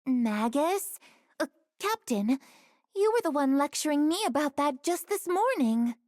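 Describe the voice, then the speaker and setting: A woman playing a lively, eager young soldier in a video game, speaking in a chirpy way. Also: sits high, unhurried, no room sound, studio quality.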